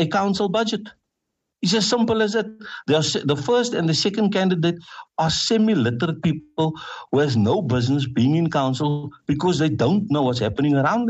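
A middle-aged man with a South African accent, a councillor answering radio interview questions in a forceful, repetitive campaigning manner. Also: fairly high pitch, breathy edge, muffled recording. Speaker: councillor and party leader.

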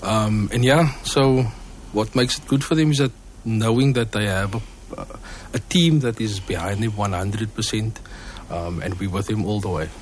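A man's voice: on the low side, normal speed, hardly any pauses, a little hiss or hum. A man speaking steadily and supportively about his choir's team in a casual interview.

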